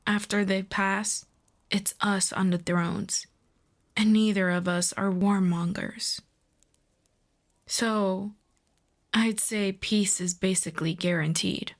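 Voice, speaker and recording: A woman playing a proud, earnest demon princess in a fantasy audio roleplay, speaking in a formal, deliberate, persuasive way. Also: medium pitch, fairly even intonation. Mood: measured, reasoning.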